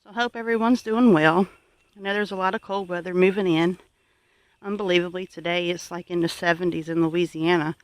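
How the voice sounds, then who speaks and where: A homesteading channel host gives viewers advice in an earnest, exhorting and deliberate way. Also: medium pitch, fairly even intonation, clean tone, dry recording, low-quality recording.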